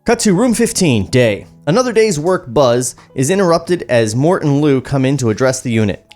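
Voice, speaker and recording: A man's voice: medium pitch, sing-song, normal speed, a little mellow. A man reading screenplay stage directions at a podcast table read, with joking asides. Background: background music.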